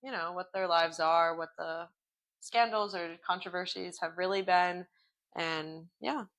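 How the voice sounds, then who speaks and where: A woman co-hosting a podcast in relaxed casual chat, her sentences trailing loosely. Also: fairly low pitch, a little slow. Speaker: podcast co-host.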